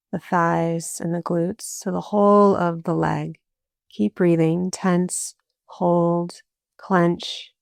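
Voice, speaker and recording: A woman leads a guided relaxation at a very slow pace. Her voice sits a little low, with plenty of creak at the edges. She gives calm, soothing instructions in short phrases and leaves space between them. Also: no room sound, clean studio sound. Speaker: yoga nidra teacher.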